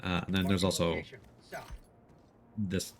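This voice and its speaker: A man's voice: low voice, level tone, slow pace. A man giving casual gameplay commentary on a stream, thinking aloud in a hesitant way as he plays. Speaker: livestreamer, gamer. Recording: clear game noise behind. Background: video game sounds.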